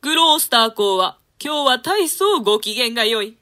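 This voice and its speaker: Brief: 人を疑わない忠義な男の貴族、女性が演じる、明るく張った声. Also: female voice, a little high, sing-song, normal speed, pushed and bright. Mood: earnest. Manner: earnest, formal and deferential. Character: trusting male lord, naive and loyal. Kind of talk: dramatic play reading.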